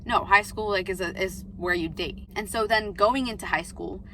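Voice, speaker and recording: A woman's voice: mid-range voice, normal speed, background noise. An eighteen-year-old woman chatting in a rambling vlog from her parked car, filling her talk with "like" and quotes of herself. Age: late teens. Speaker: vlogger. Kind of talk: casual talking-to-camera vlog. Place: parked car, outside a coffee shop.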